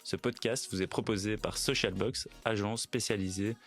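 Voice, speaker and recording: A man's voice: fairly low pitch, fairly even intonation, moderate pace. A man hosting a podcast, hesitant, with many fillers and friendly interjections. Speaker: podcast host. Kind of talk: casual interview chat.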